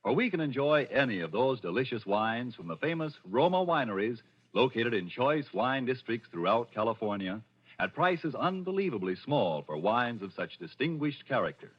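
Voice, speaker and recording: A man's voice: mid-range voice, sing-song, normal speed, husky. An American man announcing a polished, scripted commercial in 1940s radio style. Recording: muffled old radio broadcast, faint music.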